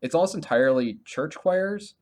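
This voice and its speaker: Casual, halting male podcast co-host. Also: fairly high pitch, lively intonation. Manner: halting, self-correcting, heavy use of "like". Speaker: podcast co-host reviewing video games. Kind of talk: casual conversational game review chat.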